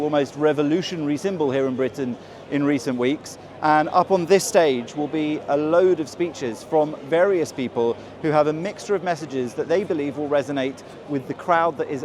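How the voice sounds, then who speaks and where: A man reporting from an outdoor street rally and interviewing attendees in a measured, inquisitive way. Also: a little high. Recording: busy crowd noise outdoors. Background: crowd.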